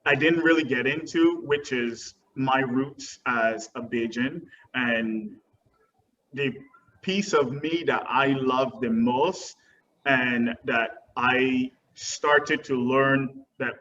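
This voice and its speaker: Slow-talking man on a dull call. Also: mid-range voice, a bit bright. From Barbados. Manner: earnest, unhurried, with frequent ums. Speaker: guest dance teacher. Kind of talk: webinar interview answers. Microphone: video call. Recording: dull distant video-call audio with faint noise.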